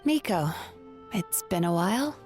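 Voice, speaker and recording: Woman as composed, gentle therapist, medium pitch. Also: expressive, faint music behind, close to an everyday voice. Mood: polite, wry. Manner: composed, polite, measured. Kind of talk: anime-style game voice acting.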